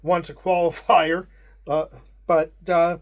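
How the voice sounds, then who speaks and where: Slow, measured man with a Pittsburgh accent. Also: on the high side, little pitch movement. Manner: unhurried, measured, with frequent hesitations. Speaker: wargame channel host. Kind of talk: casual unboxing narration.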